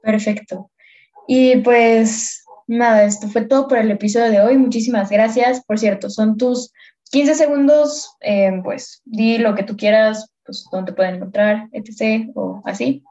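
A woman's voice: fairly high pitch, steady tone, measured pace, mellow. A young woman hosting a podcast, talking in a laid-back, friendly, rambling way. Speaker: podcast host. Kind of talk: casual chat. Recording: slightly dull lo-fi podcast audio.

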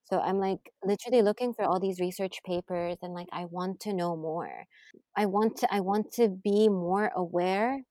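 A woman from Saudi Arabia in a casual podcast conversation, reflective and soft-spoken, often drifting quieter. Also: medium pitch, normal speed, no echo. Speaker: podcast guest.

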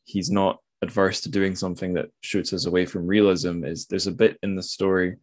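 A man's voice: sits low, level tone, normal speed. A man hosting a casual literary discussion, thinking aloud and correcting himself as he goes. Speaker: podcast host.

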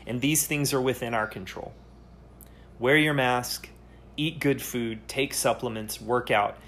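A man hosting a solo podcast, speaking earnestly and haltingly, often pausing to search for words. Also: mid-range voice, fairly bright tone, slight background noise. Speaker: podcast host.

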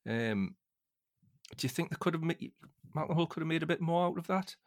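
A man hosting a casual book discussion, speaking in a thoughtful, hesitant way with halting phrases. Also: a little high, lively intonation.

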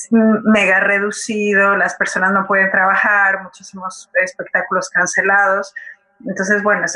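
A woman from Colombia with a clear-cut, bright voice at a middle pitch answers as an interview guest. She explains informally and conversationally, often tagging phrases with a small check for agreement. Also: rough recording.

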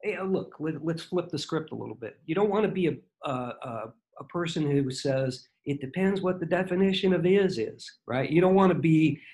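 A man's voice: a little high, moderate pace, hardly any pauses, a little mellow. A man in his sixties, a podcast guest, telling self-deprecating stories in casual chat, with many fillers and restarts. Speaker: guest, franchise consultant. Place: home.

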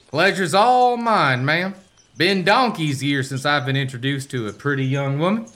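A man's voice: a little high, moderate pace, a bit bright, natural voice. A man playing a folksy old ghostly grandpappy in a family radio play, drawling courtly old-timey sayings. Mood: folksy, wry.

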